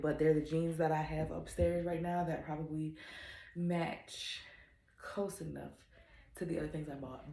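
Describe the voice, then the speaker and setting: A woman's voice: lowish voice, a little mellow. A young woman vlogging in casual chat, sounding nasal from a head cold and talking in a chatty, upbeat way full of fillers.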